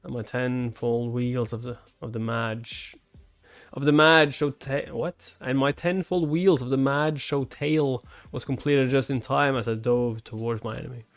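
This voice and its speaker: A man's voice: mid-range voice, steady tone, a little slow. A man doing a live read-along, switching between narrating a comic and giving incredulous casual asides. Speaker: reaction host reading a webcomic aloud. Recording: music behind the voice. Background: background music.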